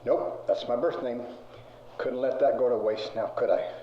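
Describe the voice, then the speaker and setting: A man's voice: medium pitch, steady tone, normal speed, natural voice. A man playing a smug, wry scientist and company chief in a found-footage horror film, glib and self-assured. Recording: muffled, echoey, faintly hissy tape.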